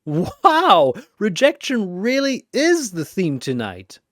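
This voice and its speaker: A gleefully cruel cartoonish dream demon, pitched high and swooping wildly. It taunts and wisecracks in exclamations, mocking whoever it faces. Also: huge pitch swings, slow, no room sound, clean studio sound. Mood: mocking annoyance.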